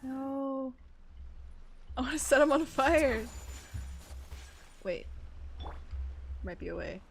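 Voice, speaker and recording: Higher-pitched, unhurried woman streaming a game. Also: animated, vocal fry, a little hiss or hum. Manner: reactive, playful, talking to the game and to herself. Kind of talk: casual live gameplay commentary. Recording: game music in the background.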